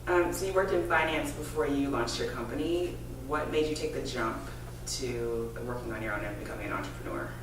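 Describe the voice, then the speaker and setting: A woman's voice: extremely low pitch, fairly quick, few pauses, background noise. Distant interviewer woman in a very echoey room. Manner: friendly, briefly directing the shoot.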